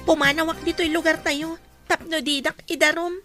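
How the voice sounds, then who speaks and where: A woman's voice: very high voice, fairly even intonation, normal speed, over music. A woman playing a fearful, ashamed young daughter in an Ilocano radio drama. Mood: upset, fearful. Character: fearful, ashamed, wounded daughter refusing to face people.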